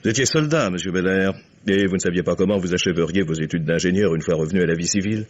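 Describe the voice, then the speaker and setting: An actor playing an older male investigator in an old French radio mystery, courteous and insinuating, with measured irony. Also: low voice. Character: shrewd older investigator, courteous, ironic, accusing father. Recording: dull vintage radio recording.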